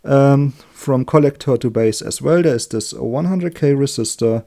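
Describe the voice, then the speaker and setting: A man with a German accent, a native German speaker, giving an informal hands-on electronics tutorial in a chatty, halting way, correcting himself as he works. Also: medium pitch, gravelly, warm tone. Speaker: electronics hobbyist demonstrating a circuit build. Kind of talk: informal hands-on tutorial, explaining while working.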